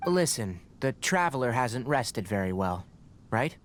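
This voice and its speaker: A man voicing a practical, firm young scholar and forest ranger in a video game, measured and matter-of-fact. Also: medium pitch, big pitch swings, noisy background. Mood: firm, calm.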